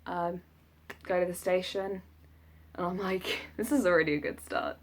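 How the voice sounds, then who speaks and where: A young woman with a southern English accent talks in a slightly low-pitched voice. She rambles casually as a vlogger would, poking fun at herself in an informal, jokey manner. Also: fairly even intonation, normal speed. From south of England. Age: 20s. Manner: self-deprecating, jokey. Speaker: vlogger recounting an audition. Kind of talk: casual rambling vlog chat.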